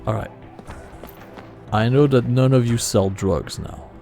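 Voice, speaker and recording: Guy streaming a game, wry muttered comments, gravelly voice, bit of music behind. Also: mid-range voice, measured pace, dark tone. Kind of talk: casual game commentary and reading aloud.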